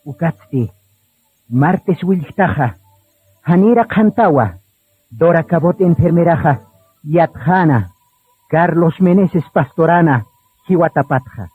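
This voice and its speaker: A man's voice: a little high, expressive, slow, long pauses, slightly rough, faint music behind. A man narrating a radio drama in a solemn style, on a narrow phone-like recording.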